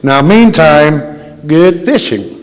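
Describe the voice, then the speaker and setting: A man acting a genial small-town fellow who dodges and stalls in a high voice. His old-time radio delivery is slow and deliberate, echoing through a hall. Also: expressive. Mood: genial, evasive. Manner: unhurried, evasive. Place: live stage in a museum courthouse. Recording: echoey hall.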